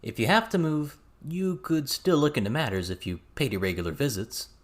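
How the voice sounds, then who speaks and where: A man's voice: mid-range voice, animated, a little fast, pitched above the performer's own voice, close to an everyday voice. Man as a firm, businesslike man in charge. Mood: matter-of-fact. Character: authoritative man running a ritual, brisk, patient.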